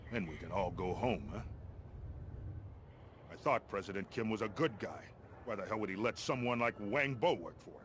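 An actor playing a gruff, blunt American army colonel with a wry, folksy streak in a video game. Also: male voice, fairly low pitch, fairly bright tone, slight background noise, lightly acted. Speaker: army colonel, commander giving mission briefings. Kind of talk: video game character dialogue.

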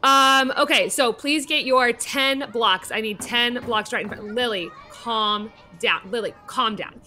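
A stern, busy elementary teacher, acted by a woman in an audio drama. Her voice is fairly high and bright, and she issues firm instructions over faint music. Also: unhurried. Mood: hurried. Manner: firm, instructing, repeating commands. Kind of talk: acted classroom scene.

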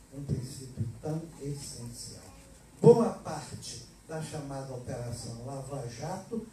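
Older Brazilian man, slow emphatic speech. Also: a little high, dark tone, a little hiss or hum. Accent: Brazilian Portuguese. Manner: slow, deliberate, emphatic oratory. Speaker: award speaker. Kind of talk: political speech at a ceremony. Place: hall at an event, indoors. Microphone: handheld mic. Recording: echoey hall, filmed from a distance.

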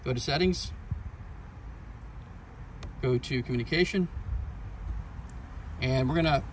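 A man's voice: medium pitch, slow pace, a little hiss or hum. A middle-aged man with an American accent giving a casual hands-on tutorial, explaining plainly step by step. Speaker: presenter of a do-it-yourself boat video. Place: on and around a boat outdoors, and at a workbench.

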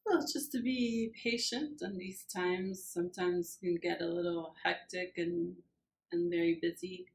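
Low-voiced woman in a small office. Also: fairly even intonation, vocal fry. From Argentina. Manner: plain, friendly, unhurried with fillers. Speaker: church administrative assistant. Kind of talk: casual interview answers. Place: small church office, indoors.

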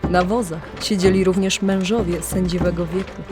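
A woman narrating a scripted audio drama legend in a measured, literary storytelling manner, with deliberate pauses. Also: on the low side, steady tone. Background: music.

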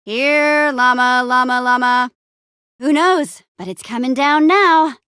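A spirited young heroine from an adventure video game. Her voice is high and swings widely in pitch, with a plucky, playful manner. The delivery is unhurried and the recording is close and dry. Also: big pitch swings, fairly bright tone, no room sound, full character voice. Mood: exasperated. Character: spirited young female adventurer and town leader.

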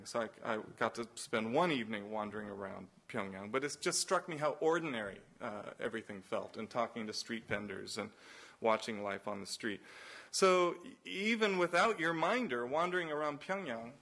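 A man at middle pitch gives a symposium talk with slides. He speaks loosely and anecdotally, piling up fillers and restarts as he moves from story to slide. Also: fairly bright tone. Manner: acts out quoted exchanges in raised voices. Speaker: nonprofit director giving a symposium talk. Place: college symposium room.

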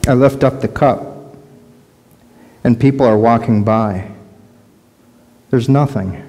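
A middle-aged man playing a lonely blind beggar, speaking a halting, questioning inner monologue in a large church sanctuary. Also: mid-range voice, dark tone, voice pushed down. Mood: searching. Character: lonely blind adult male beggar by a road. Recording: long church reverb.

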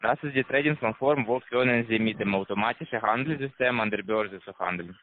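A man with a foreign accent, not a native German speaker, giving a halting, repetitive telephone sales pitch as a call center salesman. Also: no echo. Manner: halting, repetitive, broken grammar. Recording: tinny narrow phone line.

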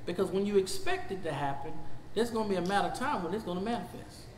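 A man in his fifties with a Southern US accent teaching a Bible study as pastor. He swings from shouting to low talk, recorded in a church sanctuary on a lapel mic. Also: quick pace, reverberant, in a large hall, slight background noise. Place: church sanctuary, seated before a projection screen.

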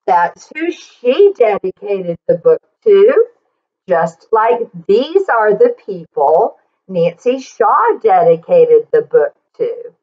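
Very slow, expressive woman reading to children. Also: mid-range voice, lo-fi sound. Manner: expressive, deliberate, with drawn-out words and explanatory asides. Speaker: children's story reader and teacher. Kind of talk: read-aloud picture book storytelling for children.

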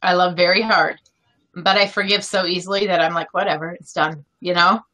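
Bright-voiced woman in casual chat. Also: fairly low pitch, fairly even intonation, fairly quick. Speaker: co-host.

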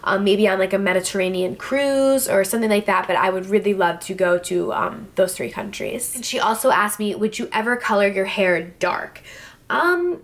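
A woman's voice: mid-range voice, moderate pace. A twenty-year-old woman from New Jersey answering viewer questions in a casual vlog, talking to a close camera in a small room. Her talk is chatty and full of "like" and "I don't know". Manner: chatty, filler-heavy, lots of "like" and "I don't know". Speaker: beauty vlogger. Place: indoors, small room with clothes rack behind. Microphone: camera facing her at close range.

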